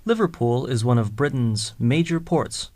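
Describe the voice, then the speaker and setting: A speaker reading scripted English listening exercises in a slow, deliberate way, spelling out words letter by letter. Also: medium pitch, animated, darkish tone, close to an everyday voice. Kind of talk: scripted slow textbook dialogue.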